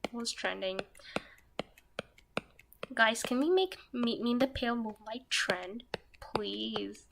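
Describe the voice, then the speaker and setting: A young woman streaming herself while drawing, talking softly and half-whispering, a little nervous, with pen scratching heard behind her. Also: on the high side, lively intonation, takes it slowly, vocal fry, pauses often. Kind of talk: casual live-stream chat while drawing. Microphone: desk microphone that picks up pen scratching. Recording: noisy home stream recording.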